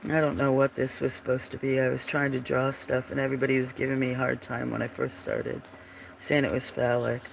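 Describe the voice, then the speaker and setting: A man's voice: medium pitch, completely flat delivery, fairly quick, slight background noise, rough recording. A man hosting a livestream, chatting casually about his drawings in a froggy voice, meandering and trailing off as he searches for pieces. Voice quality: froggy, gravelly voice. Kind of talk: casual rambling commentary.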